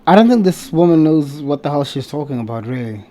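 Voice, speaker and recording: A man's voice: on the high side, lively intonation, fairly quick, mellow. A man hosting a reaction video, talking casually in a sarcastic, exasperated way and swearing.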